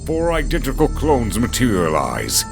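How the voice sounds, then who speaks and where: A man's voice: mid-range voice, wildly swooping pitch, moderate pace, creaky. A man narrating a scripted fantasy audio drama in a dramatic storytelling style. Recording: music under the voice. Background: music.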